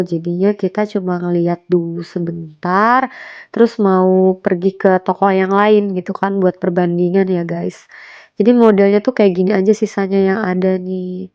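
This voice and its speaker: A woman's voice: mid-range voice, no echo, lo-fi sound. A young woman narrating a casual home vlog in a chatty way, her voice a little stuffy from a cold. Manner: chatty, fillers like gitu and guys. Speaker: vlogger, homemaker.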